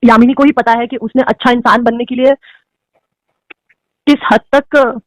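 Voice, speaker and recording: Young actress guest, high and quick, outspoken, emphatic, dry close sound. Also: female voice, steady tone, no room sound, rough recording. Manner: outspoken, emphatic, mixing in English phrases. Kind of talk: candid interview answers.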